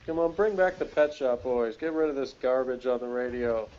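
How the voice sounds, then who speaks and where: A man's voice: mid-range voice, moderate pace, darkish tone. A man chatting casually as a podcast reviewer, in a rambling, jokey way. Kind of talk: casual chat. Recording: dull, lo-fi voice recording.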